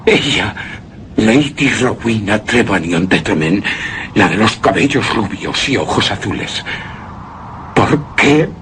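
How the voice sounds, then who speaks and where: An actor playing a terrified, anguished widower in a gothic horror radio drama, speaking theatrically in halting, repeated phrases. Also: male voice, on the low side, expressive, a little hiss or hum. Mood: feverish wonder. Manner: halting, theatrical, repeats words, breaks into hushed gasps. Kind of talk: Spanish-language horror radio drama monologue.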